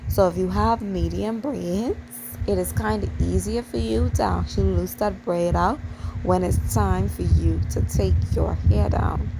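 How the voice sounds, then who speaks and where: Gravelly Bahamian woman chatting. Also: mid-range voice, steady tone, measured pace, noisy background. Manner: chatty, repeats herself, addresses viewers as you guys. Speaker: channel host. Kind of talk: hair tutorial voice-over.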